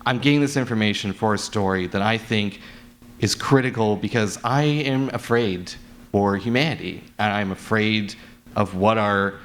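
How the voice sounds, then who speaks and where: A man's voice: fairly low pitch, moderate pace, fairly bright tone. A man speaking earnestly and off the cuff on a panel as an audio drama creator, rambling and repeating words for emphasis. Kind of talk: unscripted panel talk. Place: live convention panel. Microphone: wired panel microphone.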